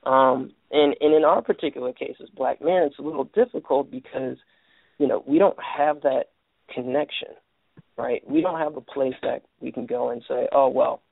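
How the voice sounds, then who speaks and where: Male call-in radio host, gravelly, halting, with a dry, phone-thin sound. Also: mid-range voice, no room sound, narrow, tinny audio. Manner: halting, many restarts and fillers. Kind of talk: casual call-in radio talk.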